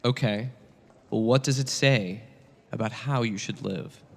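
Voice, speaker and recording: A man playing a calm, patient divine teacher in a satirical dramatic reading, speaking in measured, plain questions. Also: medium pitch, vocal fry, in a large hall.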